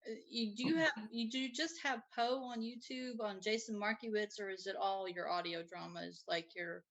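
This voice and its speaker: Bright-voiced woman co-hosting a chat. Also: medium pitch, moderate pace. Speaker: co-host reading audience comments. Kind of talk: casual interview chat.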